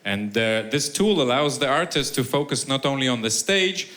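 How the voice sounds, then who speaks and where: Bright-voiced male guest from Israel. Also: medium pitch, animated, normal speed. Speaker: guest technology creator. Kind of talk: explaining on stage.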